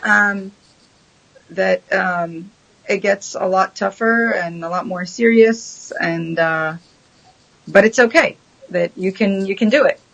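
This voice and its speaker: Woman in her 30s, kinda gravelly, thinks out loud with lots of ums. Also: mid-range voice, slow, poor audio. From Syria. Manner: hesitant, thoughtful, many ums. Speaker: guest. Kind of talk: casual interview chat.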